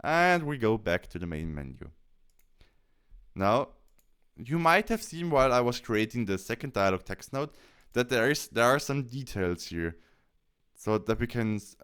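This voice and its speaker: A man presenting a software tutorial in a casual way, explaining things step by step. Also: mid-range voice, clear, resonant tone.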